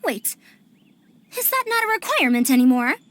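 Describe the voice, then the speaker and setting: A woman's voice: sits high, very animated, normal speed, a bit bright, slight background noise. A woman playing a cultured, learned young scholar in a video game, speaking in a refined, precise, erudite way. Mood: scholarly.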